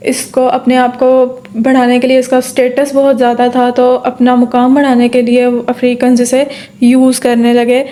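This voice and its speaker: A woman's voice: a little high, mellow. A woman giving an exam-prep lecture, explaining step by step, asking and answering her own questions, with frequent fillers and check-ins. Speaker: tutor. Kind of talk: exam-prep lecture in Urdu-English mix.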